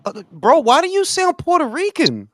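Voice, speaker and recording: A man hosting a casual boxing talk show, animated and slangy, calling everyone bro. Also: extremely high pitch, normal speed, no echo, studio quality. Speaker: podcast host.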